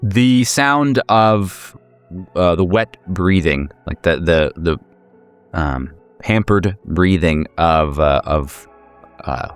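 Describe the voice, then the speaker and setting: A man with a low, resonant voice hosts a horror tabletop game. He moves between improvised narration and casual table talk, haltingly, circling back over his phrases. Faint music sits behind him. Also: smooth. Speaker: game master and host.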